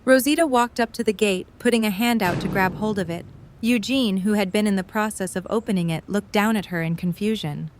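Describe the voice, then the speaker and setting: A woman's voice: medium pitch, fairly quick, a little hiss or hum. A woman serves as narrator for an audio drama. She reads scripted third-person story prose in a mid-range voice.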